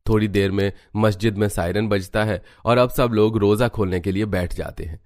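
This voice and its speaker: A man's voice: fairly low pitch, fairly even intonation, normal speed, a little mellow, dry recording, clean studio sound. A man narrating a scripted children's cartoon story.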